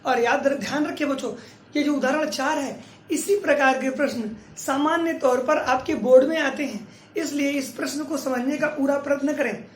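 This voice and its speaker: A male math teacher giving a classroom lecture, dictating slowly and asking then answering his own questions. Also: very high voice, fairly even intonation. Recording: roomy echo from a distance.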